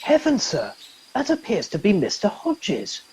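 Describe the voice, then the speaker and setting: A woman plays an earnest, eager young crew member in a radio comedy. She talks very slowly, with clear noise behind her voice. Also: mid-range voice, wildly swooping pitch, a little mellow. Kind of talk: comic radio play acting. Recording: noisy home recording with background hiss.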